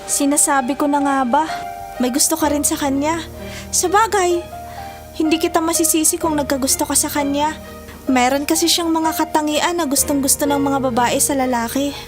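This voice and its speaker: A high, girlish voice from an actress plays a caring young Filipina student in an audio drama. She talks expressively and conversationally, a loyal friend, with music underneath. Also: moderate pace, natural voice. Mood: reassuring. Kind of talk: Tagalog audio drama acting.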